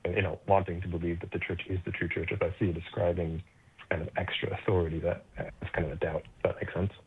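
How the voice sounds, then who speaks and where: A man's voice: low voice, level tone, fast talker, creaky. An American man from Connecticut calling in with a question, hesitant with many fillers but scholarly. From Connecticut, USA. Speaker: caller. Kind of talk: phone-in question. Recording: tinny phone line.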